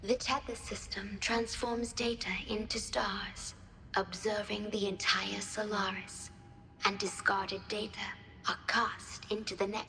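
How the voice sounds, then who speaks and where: Solemn game guardian: gentle, devoted, formal and breathy, medium pitch, roomy echo. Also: female voice. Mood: calm, earnest. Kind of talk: scripted video game cutscene acting.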